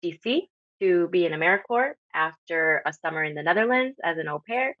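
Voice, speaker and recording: A woman in her thirties from Honduras, a podcast guest talking casually with many pauses and ums. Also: fairly low pitch, expressive, dry recording. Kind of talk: casual interview talk. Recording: slightly dull lo-fi audio.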